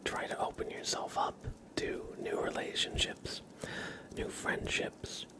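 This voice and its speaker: Male ASMR host: low, hushed whisper, very slow pacing, gentle direct address. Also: rough recording. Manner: gentle, unhurried delivery addressing listeners directly.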